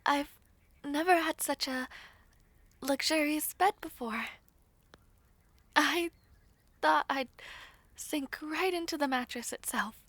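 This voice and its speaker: A woman playing a gentle, modest young maid in a romantic audio roleplay, soft-spoken and breathy, with hesitant, polite, formal phrasing. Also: high-pitched, slow, long pauses, dry recording. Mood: shy, apologetic.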